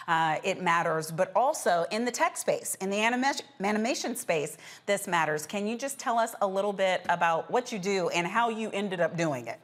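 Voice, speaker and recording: Lady news host, normal pitch, doing intros and interview questions. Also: expressive, normal speed, few pauses. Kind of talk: broadcast interview and presenting.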